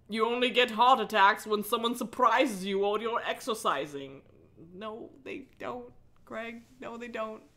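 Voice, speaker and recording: A woman with a high, bright voice reads aloud on a livestream, breaking off for mocking asides. She is giggly and sarcastic, swears freely, and takes an unhurried pace. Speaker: livestream host reading a book aloud. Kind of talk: cold reading with mocking asides.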